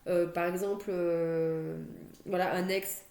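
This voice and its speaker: A woman's voice: lowish voice, little pitch movement, takes it slowly, darkish tone, echoey room. A young woman with a cold doing a chatty tarot card reading at home, with fillers and restarts, recorded on a computer mic. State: has a cold, coughing. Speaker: tarot reader. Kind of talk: monthly astrology card reading. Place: home, near a stairwell.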